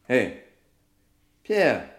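A man's voice: mid-range voice, huge pitch swings, extremely slow, exaggerated voice. An actor playing a hearty, persuasive trucker friend in a German radio crime drama, jovial and teasing, drawing out his announcements. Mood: persuasive, earnest.

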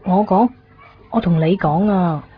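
A woman's voice: lowish voice, a little slow, slight background noise, natural voice. An actress playing a young woman torn in love in a radio drama, natural and emotional, with a Hong Kong accent. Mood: animated. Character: young woman torn between lover and boyfriend. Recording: dull 1990s radio recording, faint music. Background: faint background music.

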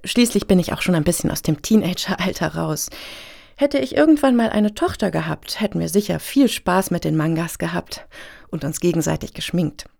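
An actress in a satirical radio play as a self-important, gushing middle-aged woman pitching her initiative with earnest jargon. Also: moderate pace, vocal fry, a little mellow, dry recording, studio quality.

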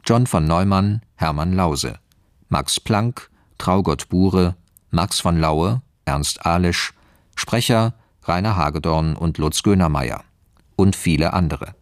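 A man reading a scripted radio announcement formally, as an announcer giving titles and credits in clearly separated phrases. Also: low voice, slow, no echo, clean studio sound.

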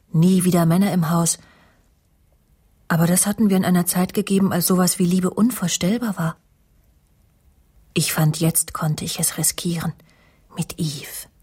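An actress playing a bitter middle-aged woman who confides in a measured, often murmuring voice in a German radio crime drama. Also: lowish voice, darkish tone, husky. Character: bitter middle-aged woman narrating her jealous confession.

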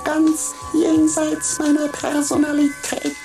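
An actress voicing a naive, childlike precious being that asks slow, earnest questions in a radio play, with music behind her. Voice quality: very high, thin, flat childlike voice.

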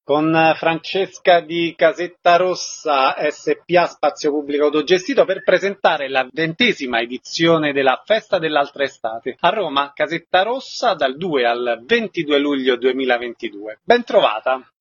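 Brief: male radio host, interview style, husky voice, dry and slightly dull recording. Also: fairly high pitch, lively intonation, moderate pace, hardly any pauses, a bit bright, dry recording. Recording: dry, band-limited, slightly dull audio.

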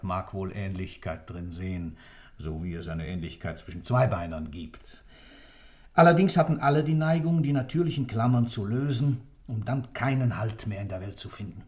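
A man's voice: low voice, lightly acted. An actor playing a fanatical accused killer in a German radio play, declaiming his creed of order with insistent, rhetorical intensity. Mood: cool, cryptic.

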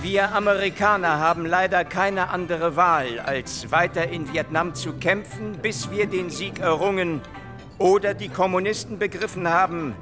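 Actor as high-pitched hawkish military officer. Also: male voice, moderate pace, close to an everyday voice. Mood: hawkish, resolute. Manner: declamatory, hard-line. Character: hawkish male US Marine major giving a speech. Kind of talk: radio drama public address. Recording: music behind the voice.

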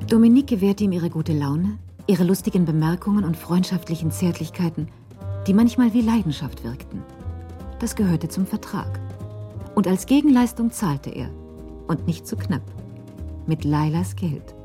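A woman's voice: lowish voice, lively intonation, normal speed, dark tone, faint music behind. A woman reading scripted narration as the storyteller of a German radio crime drama.

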